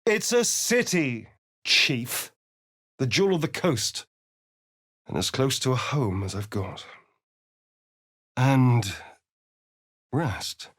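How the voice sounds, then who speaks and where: An actor playing a suave, sardonic, preening vampire rogue in a video game, with an arch, theatrical drawl and dramatic pauses. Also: male voice, medium pitch, lively intonation, fairly bright tone, no room sound, clean studio sound. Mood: wry, sardonic. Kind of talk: video game character voice acting.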